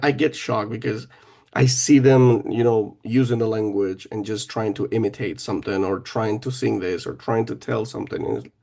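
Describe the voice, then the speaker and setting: A man's voice: lowish voice, steady tone, moderate pace, vocal fry, mellow. A man who teaches children, talking in a casual podcast conversation, hesitant and often restarting his sentences.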